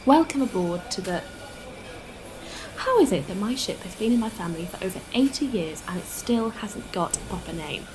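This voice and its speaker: A woman playing an earnest, outspoken spaceship captain in a science-fiction audio drama, with an expressive delivery. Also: mid-range voice, normal speed, slight background noise, lightly acted, rough recording. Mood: warm, teasing.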